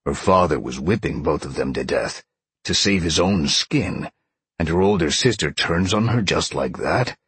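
A man voicing a gruff, impatient lion shapeshifter in an audiobook, growling and bluntly sardonic. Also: a bass voice, expressive, normal speed, fairly bright tone, full character voice. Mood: grumbling. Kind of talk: audiobook character acting.

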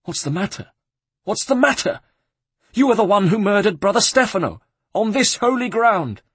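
High, wailing, panicky pious abbot. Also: male voice, big pitch swings, moderate pace, a bit bright, breathy edge, no echo, exaggerated voice. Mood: outraged, panicked. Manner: theatrical wailing and pious exclaiming. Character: panicky, pious male abbot, dreading demons.

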